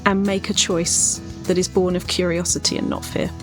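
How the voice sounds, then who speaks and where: A woman's voice: lowish voice, flat delivery, normal speed, music in the background. A woman speaking as a writing coach on a podcast, giving advice conversationally.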